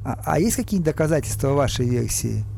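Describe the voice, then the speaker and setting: A man's voice: on the high side, very animated, gravelly, dark tone, slight background noise. A man voicing a touchy, indignant human schoolboy in an audiobook drama. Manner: indignant, quick to take offense.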